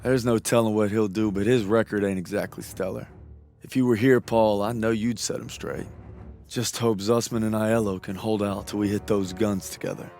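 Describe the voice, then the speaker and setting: An actor playing an earnest, loyal young army private with an American accent in a war game, speaking plainly. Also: male voice, fairly low pitch, fairly even intonation, normal speed, darkish tone, faint music behind, natural voice. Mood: worried.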